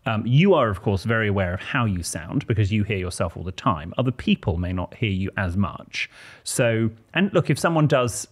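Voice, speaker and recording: Man in his 30s, podcast co-host reading letters, low husky voice, lively melodic delivery, close studio mic. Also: moderate pace. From Bristol, England. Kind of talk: casual chat and reading listener letters. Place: small podcast studio, indoors. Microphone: close studio mic on boom arm, headphones.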